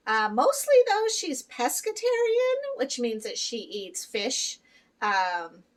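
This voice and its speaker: A woman's voice: mid-range voice, a bit bright, recorded from a distance. A middle-aged woman from Peru talking casually to her viewers about living with kidney disease, earnest and encouraging, with lots of fillers. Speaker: vlogger sharing life with kidney disease. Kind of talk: casual chat to camera.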